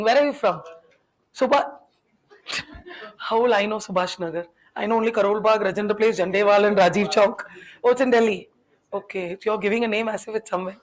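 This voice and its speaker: A woman's voice: fairly high pitch, poor audio. A young adult woman whose first language is Tamil, teaching a classroom lecture. She keeps firing questions at her students and teases them in a chatty way.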